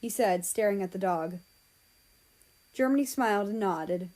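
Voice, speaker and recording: A woman's voice: mid-range voice, measured pace, a little mellow. A woman narrating a written story aloud, reading out the prose and the dialogue tags. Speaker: fan fiction reader.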